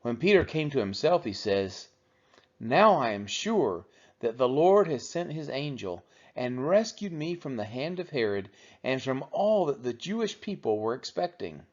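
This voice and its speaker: A man's voice: mid-range voice, big pitch swings, measured pace. A man reading the Bible aloud as a narrator for a church read-along. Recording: dull, lo-fi sound.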